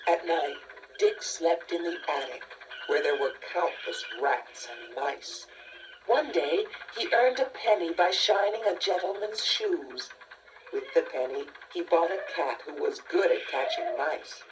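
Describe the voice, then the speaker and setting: A woman reading a scripted children's story in a measured, expressive storytelling manner. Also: low-pitched, background noise. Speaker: storybook narrator. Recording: distant-sounding cassette tape transfer. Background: music.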